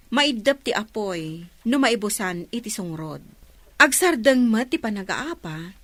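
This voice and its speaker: A woman's voice: medium pitch, fairly bright tone, no room sound. A woman with an Ilocano accent presenting a scripted religious radio program and reading scripture. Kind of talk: scripted devotional reading and introduction.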